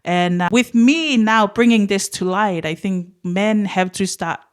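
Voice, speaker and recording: A woman's voice: medium pitch, a little slow, clear, resonant tone. A woman hosting an interview podcast, talking earnestly and in a rambling way. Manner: earnest, rambling, with many ums and uhs. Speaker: podcast host.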